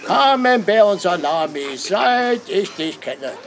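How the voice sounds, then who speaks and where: A man's voice: high voice, sing-song, slow, a crowd in the background, exaggerated voice. An actor in a radio drama playing a nagging, blunt older man who grumbles and mocks. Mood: grumbling, exasperated. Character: grumbling, nagging old friend, mocking and blunt.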